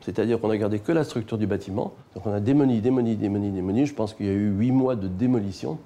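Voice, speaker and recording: A man's voice: lowish voice, fast talker, mellow. An older man speaking as an architect in an interview, emphatic and repeating words for effect.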